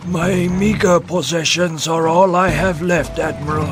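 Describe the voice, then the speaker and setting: An actor playing a humble, devoted boat builder in a game cutscene, earnest and deferential. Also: male voice, on the high side, fairly even intonation, unhurried, close to an everyday voice. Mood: humble, pleading. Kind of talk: dramatic scripted game acting. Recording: loud music behind the voice.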